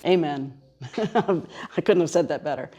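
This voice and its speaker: Female radio host, low-pitched voice, conversational interviewer on location. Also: animated, measured pace, mellow, husky. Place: on location at a seminary.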